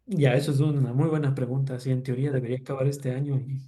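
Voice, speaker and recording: A man's voice: medium pitch, fairly even intonation, fast, dark tone. A man from Ecuador with an Ecuadorian accent, a doctoral student answering questions at an academic seminar in an informal, explanatory way. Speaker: doctoral student presenting research.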